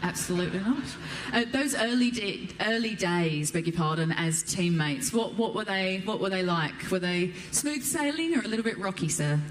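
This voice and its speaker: A woman's voice: medium pitch, animated, normal speed, few pauses, slight background noise. Polite woman host with long reverb. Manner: polite, playful questioning. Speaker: interviewer host. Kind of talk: live stage interview. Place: stage at an outdoor motorsport festival. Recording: long reverb, faint ambience.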